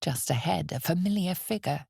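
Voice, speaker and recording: A woman playing an ominous, knowing narrator of a dark fantasy video game, speaking in a measured, dramatic, hushed way. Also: lowish voice, gravelly, breathy edge, dry recording, clean studio sound, exaggerated voice.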